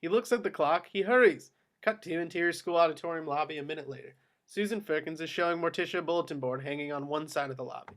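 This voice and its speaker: A man with a high voice reads out stage directions. It is scripted narration for a table read of a screenplay. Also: quick pace, a bit bright.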